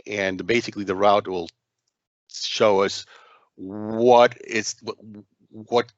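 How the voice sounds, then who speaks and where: A man narrating a software demo walkthrough as its presenter, speaking in a halting, hesitant way with frequent stumbles. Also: on the low side, fairly even intonation, no room sound, lo-fi sound.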